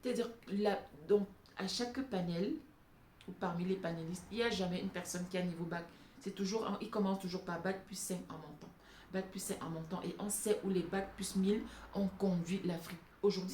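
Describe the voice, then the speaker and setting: A woman's voice: fairly low pitch, dark tone. A woman giving an impassioned, off-the-cuff opinion monologue, emphatic and repeating phrases for stress. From francophone Africa. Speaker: vlogger addressing African women. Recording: echoey room with background noise.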